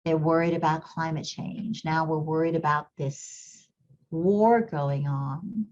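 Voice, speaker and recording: A woman's voice: low voice, fairly even intonation, takes it slowly, darkish tone, muffled recording, slight background noise. A middle-aged woman who grew up in Kenya, with a slight English accent, talks earnestly as an interview guest and repeats words while she thinks. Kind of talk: reflective interview talk.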